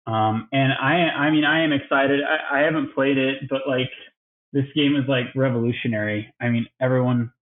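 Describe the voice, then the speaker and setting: A man's voice: mid-range voice, fairly even intonation, moderate pace. A man co-hosting a casual gaming podcast who talks in an easygoing, rambling way and laughs often. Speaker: podcast co-host. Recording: phone-like lo-fi line.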